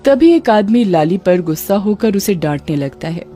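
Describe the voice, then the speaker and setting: A woman's voice: medium pitch, expressive, moderate pace, mellow, soft background music. A woman narrating a scripted story as a storyteller.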